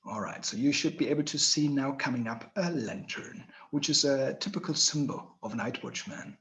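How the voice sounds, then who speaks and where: A man's voice: medium pitch, fairly bright tone. A man from Germany, speaking English as a while hosting a live online tour over a video call, chatty and friendly with many fillers. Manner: friendly, chatty, slightly non-native phrasing with fillers. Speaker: tour guide and host.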